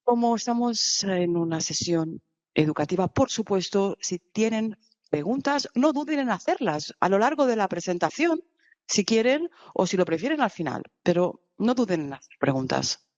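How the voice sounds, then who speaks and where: A woman giving live conference interpretation, halting at times with restarts and bursts of speed. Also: mid-range voice, big pitch swings, a little mellow, dry recording, lo-fi sound. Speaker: simultaneous interpreter.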